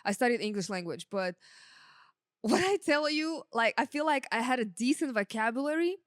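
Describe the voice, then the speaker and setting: A woman's voice: a little high, animated, normal speed, no room sound, studio quality. A woman in her thirties from Russia, a native Russian speaker, talking informally and modestly as a podcast guest, with lots of "like" and "you know". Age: 30s. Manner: informal, self-effacing, peppers talk with "like" and "you know". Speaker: podcast guest, sound engineer. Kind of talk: casual chat interview.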